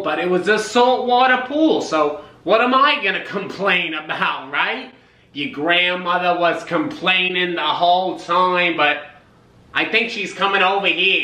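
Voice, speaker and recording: Man doing a slow old grandpa, roomy room. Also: high voice, bright, forceful tone. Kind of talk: comic character monologue. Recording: roomy kitchen, distant camera mic.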